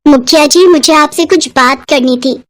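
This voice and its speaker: Woman playing an eager high-voiced village schoolgirl. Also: normal speed, fairly bright tone, no echo. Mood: earnest, respectful. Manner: polite, earnest, eager. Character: eager, respectful poor village schoolgirl with bright ideas. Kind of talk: dramatised moral storytelling.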